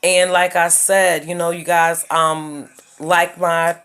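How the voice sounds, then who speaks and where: Emphatic low-voiced woman giving advice. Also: flat delivery, takes it slowly, a bit bright, clear, resonant tone. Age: 30s. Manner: emphatic, preachy, repeats points to the viewer. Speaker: YouTuber giving life advice. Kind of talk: casual advice monologue.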